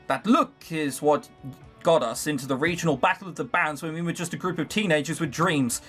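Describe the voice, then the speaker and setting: A man voicing a calm, smirking guitarist, an old bandmate, in a lowered, easy-going voice. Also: brisk, breathy edge, faint music behind. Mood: wry. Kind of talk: visual novel voice acting.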